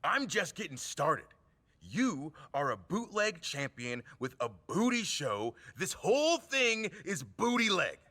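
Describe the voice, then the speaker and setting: An actor playing a brash, theatrical wrestler who taunts and mocks in a video game cutscene. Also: male voice, on the high side, big pitch swings, takes it slowly, sharp tone, big-room sound, full character voice. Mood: mocking. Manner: theatrical, mocking.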